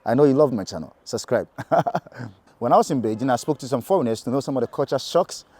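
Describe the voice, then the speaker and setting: A man's voice: medium pitch, animated, fairly quick, dark tone. A man from Ghana hosting his channel and asking passers-by questions on a city street. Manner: upbeat, promotional. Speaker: YouTube host, street interviewer.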